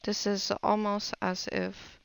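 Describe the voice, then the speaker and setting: A woman narrating a casual art tutorial, unhurried and musing aloud as she works. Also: medium pitch, level tone, creaky, smooth, no room sound. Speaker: digital painting tutor.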